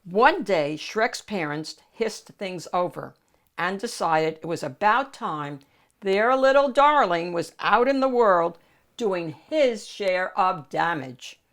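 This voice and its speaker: Woman, children's book host, expressive storybook narration, unhurried pace, medium pitch. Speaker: host of a children's book read-aloud show.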